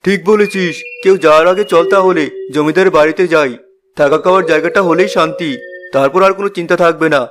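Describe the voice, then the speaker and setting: A man's voice: fairly high pitch, fast, natural voice. A man voicing an earnest, responsible eldest brother from a village in a fairy-tale cartoon. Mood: hopeful. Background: music.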